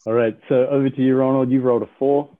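A man's voice: mid-range voice, fairly even intonation, fast, a little hiss or hum. A man hosting a game-style podcast, upbeat as he explains the rules and asks his guests questions. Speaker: podcast host. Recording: tinny phone-like line.

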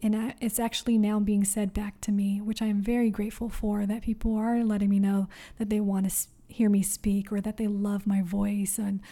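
A young adult woman hosting a solo wellness podcast, speaking softly and gently with many pauses, encouraging the listener. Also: medium pitch, flat delivery. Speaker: podcast host.

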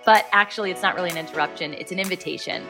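Bright-voiced woman podcast host. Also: lowish voice, rapid-fire, soft background music. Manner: earnest, animated storytelling with many restarts. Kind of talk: faith podcast monologue. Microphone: podcast microphone, live stream.

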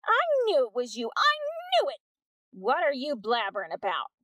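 A woman voicing a loud, indignant woman neighbor who accuses someone of taking her cat. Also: sing-song, moderate pace, dry recording. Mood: accusing. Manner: shrill, accusing, whiny.

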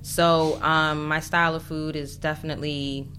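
A chatty American woman with a low-pitched voice, talking casually as a guest on a podcast. Her delivery is informal and conversational, over faint background noise. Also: little pitch movement, moderate pace, a bit bright. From United States. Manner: chatty, informal, uses "like" often. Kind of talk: casual chat.

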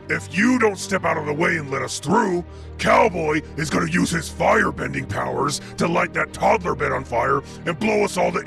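A man's voice: on the high side, gravelly, bright, forceful tone, exaggerated voice. A man voicing a mocking, scheming villain boss in a comedic cartoon, with a taunting, theatrical manner.

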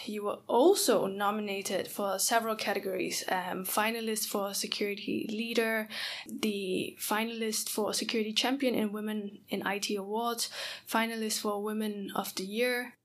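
A woman's voice: mid-range voice, steady tone, moderate pace. A woman interviewing a guest, asking questions in an encouraging, enthusiastic way.

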